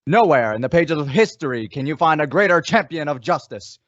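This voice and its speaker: A man narrating a western in theatrical old-time radio style, in a measured way. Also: on the high side, expressive, fairly bright tone, no room sound, lo-fi sound. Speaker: radio drama announcer and narrator.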